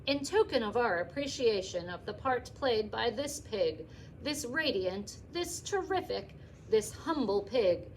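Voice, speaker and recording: A grandiose male fair announcer, put on by a woman reading a storybook aloud. He speaks in loud, formal, speechifying phrases, pitched up with a lively, swooping delivery. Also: a little hiss or hum, poor audio.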